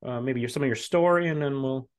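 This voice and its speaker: A man's voice: mid-range voice, quick pace, clean tone. A man hosting a podcast, asking questions in a friendly, rambling way with many fillers. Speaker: podcast host. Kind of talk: casual interview hosting.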